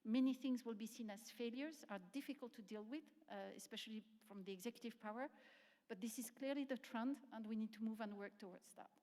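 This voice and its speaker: A woman answering a question on a conference panel in a measured way, with frequent fillers and clipped restarts. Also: fairly high pitch, dark tone. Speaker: panelist from an international policy organisation. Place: conference centre session room. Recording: long reverb of a large hall.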